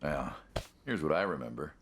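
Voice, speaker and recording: An actor playing an aging American mob man in a video game, folksy and casual but quietly menacing, probing an old friend. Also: very deep voice, animated, normal speed, slight background noise. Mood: friendly, nostalgic. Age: older. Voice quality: gravelly, husky. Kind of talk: naturalistic video game dialogue.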